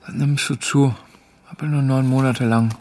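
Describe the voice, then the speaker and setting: An actor playing a weary young German soldier in a radio drama, speaking in a subdued, terse way. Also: male voice, mid-range voice, steady tone, normal speed, background noise, close to an everyday voice. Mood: dry, indifferent. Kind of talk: radio drama acting, dialogue and narrated thoughts.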